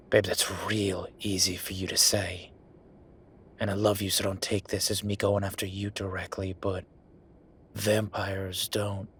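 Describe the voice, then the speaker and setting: A man's voice: lowish voice, fairly bright tone, husky, heavily acted. A man playing an angry, bitter werewolf boyfriend who vents with sweary sarcasm in a dramatic audio roleplay monologue. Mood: bitter, sarcastic. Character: angry, exasperated male werewolf boyfriend, bitter office worker.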